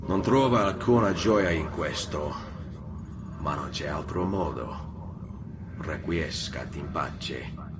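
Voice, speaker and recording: A man's voice: extremely low pitch, slow, pauses often, far from the mic, noisy background. A man playing a cool, confident young assassin in a video game, with an Italian accent. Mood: solemn. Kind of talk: video game cutscene acting.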